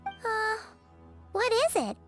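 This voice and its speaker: A woman voicing a tiny childlike fairy girl companion in a video game, squeaky, excitable and protective. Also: slow, exaggerated voice. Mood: worried, coaxing. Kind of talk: animated video game character acting. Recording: loud game music behind.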